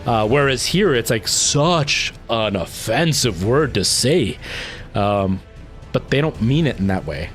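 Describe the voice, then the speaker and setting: A man with a light Canadian accent talks casually to his livestream viewers, drifting from one tangent to the next. His voice sits in an ordinary middle register. Faint music plays quietly underneath. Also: medium pitch, animated, slow. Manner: rambling, goes off on tangents; responds to viewer comments.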